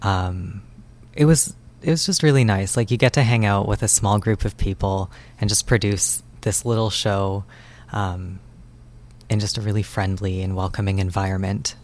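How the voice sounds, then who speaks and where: A man's voice: on the low side, fairly even intonation, normal speed, a little mellow. A young man, a student, answering interview questions in a casual, conversational way. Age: young adult. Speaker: student interviewee, stage manager and director.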